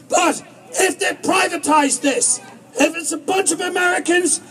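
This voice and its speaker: A man's voice: very high-pitched, fairly bright tone, low-quality recording. A man with an Irish accent giving a rousing, declamatory political speech at an outdoor protest rally. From Ireland. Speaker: protest organiser and rally speaker. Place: outdoors at a street march. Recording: outdoor rally, crowd and background noise.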